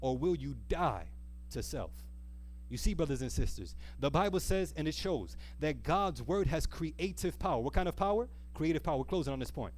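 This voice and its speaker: A man preaching an earnest, exhorting sermon, pressing rhetorical questions and repeating key phrases with deliberate, slowed emphasis. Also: on the high side, animated, darkish tone, low-quality recording. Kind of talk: sermon with a closing appeal.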